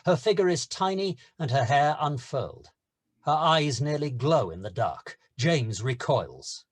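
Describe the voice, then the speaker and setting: A man's voice: a little high, animated, a little slow. A man narrating stage directions in a scripted screenplay table read.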